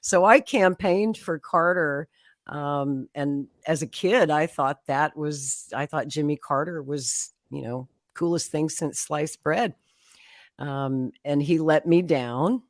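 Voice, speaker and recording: Low-voiced woman. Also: slow, no echo, studio quality. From Oklahoma, USA. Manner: chatty, frequent laughter, acts out quotes. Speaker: political guest. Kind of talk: conversational storytelling.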